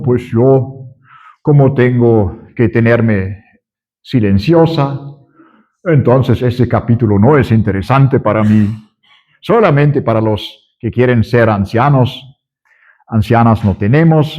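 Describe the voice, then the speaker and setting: A man preaching a sermon in a language that is not his own, working through it very slowly and haltingly, with frequent fillers and restarts. His voice sits at an ordinary middle pitch. The recording is dull and lo-fi. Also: a little mellow. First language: not Spanish. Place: church conference hall.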